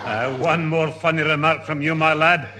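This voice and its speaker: A man's voice: on the high side, steady tone, moderate pace. An actor playing an exasperated, grumbling old grandfather in a 1970s radio sitcom. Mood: irritated. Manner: flustered, exasperated, sighing. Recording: roomy radio theatre, loud studio audience.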